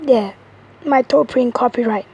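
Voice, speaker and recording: A woman with a hoarse voice, giving a rambling, halting monologue as a tarot reader. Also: fairly high pitch, a little mellow. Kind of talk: casual tarot video monologue.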